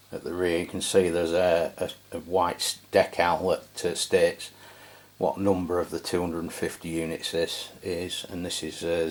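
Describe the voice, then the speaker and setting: A man with a distinctly low-pitched voice presents a hands-on review. He sounds like a channel host talking off the cuff, low-key and somewhat hesitant as he describes things piece by piece. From England. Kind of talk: casual hands-on product review.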